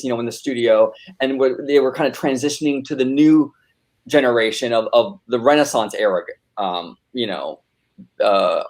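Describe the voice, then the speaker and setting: Male discussion host, mid-range voice, conversational and emphatic. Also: mid-range voice. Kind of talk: casual commentary.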